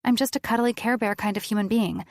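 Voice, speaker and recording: A woman plays a sarcastic young heroine, her voice higher and louder than the narration. She talks fast with wry, quick-witted defiance, in a dry, close sound. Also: fairly even intonation, dry recording, natural voice. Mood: defiant.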